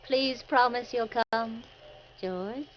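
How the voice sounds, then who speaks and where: High-voiced coy femme fatale. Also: female voice, takes it slowly, a little hiss or hum. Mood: coy, flirtatious. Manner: coy, playful, polished. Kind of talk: 1940s radio drama acting. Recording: muffled old-time radio recording.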